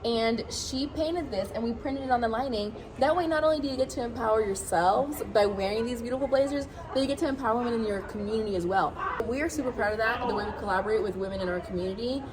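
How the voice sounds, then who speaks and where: A woman from Colombia, a brand co-founder, giving an energetic product pitch to camera in a warehouse. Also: fast, few pauses, background noise. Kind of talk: upbeat product pitch video.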